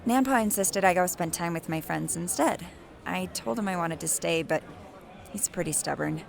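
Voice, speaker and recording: A woman's voice: lowish voice, normal speed, gravelly. A woman playing a guarded, sarcastic young woman in a naturalistic audio drama, speaking dryly and a little hesitantly. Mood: casual. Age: young adult. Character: wry, guarded young woman grieving her uncle. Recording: music bed behind the voice.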